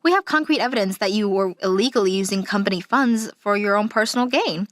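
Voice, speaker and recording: A woman's voice: mid-range voice, fast talker, no echo, soft background music, close to an everyday voice. A woman playing a composed, sincere company auditor in a manga dub. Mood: firm, accusing.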